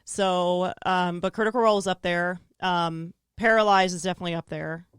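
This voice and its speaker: A woman voice actor chatting on a podcast, animated and rambling, often laughing. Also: fairly low pitch, moderate pace, smooth, dry recording, studio quality. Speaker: voice actor guest. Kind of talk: casual chat.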